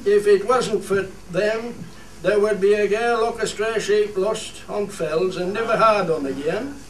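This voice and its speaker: A man's voice: high-pitched, unhurried. A man playing a proud, plain-spoken fell shepherd in a dialect radio play, speaking earnestly with a Cumbrian accent, as if making a speech. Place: studio theatre. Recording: roomy stage, distant mic, faint noise.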